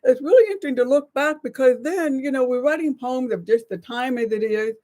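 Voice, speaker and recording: A woman's voice: high-pitched, brisk, warm tone. An American woman in her sixties co-hosting a live show with casual, reflective chat. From United States. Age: 60s.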